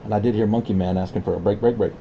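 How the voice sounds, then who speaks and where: A man's voice: fairly low pitch, steady tone, very fast. A man chatting casually with other hobbyists over a CB radio. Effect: CB radio transmission. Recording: dull, noisy CB radio. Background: radio static.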